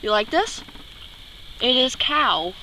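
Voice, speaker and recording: Woman as a goofy high-voiced male explorer. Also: very animated, takes it slowly, bright, forceful tone, slight background noise, exaggerated voice, rough recording. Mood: goofy, friendly. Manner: playful, goofy. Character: silly, friendly male explorer sidekick. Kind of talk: amateur improvised comic skit.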